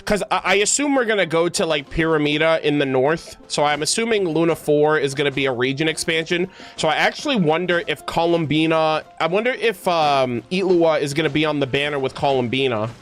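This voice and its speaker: Higher-pitched man chatting on a livestream. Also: fairly quick, hardly any pauses, fairly bright tone. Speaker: livestreamer, host. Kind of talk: casual livestream chat. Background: music.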